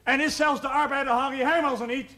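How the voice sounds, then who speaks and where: A man's voice: very high voice, fairly even intonation, fast talker, bright, forceful tone. An actor playing an earnest village man who argues and needles his companions in a television drama. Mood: earnest, needling. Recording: faintly noisy old television soundtrack.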